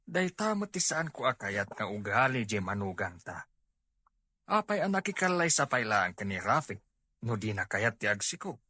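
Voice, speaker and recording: A man playing a disapproving older father who longs for grandchildren in an audio drama, grumbling and critical, with a husky voice and an Ilocano accent. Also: on the low side, sing-song, moderate pace, bright, forceful tone, dry recording, clean studio sound, full character voice. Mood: disapproving, wistful.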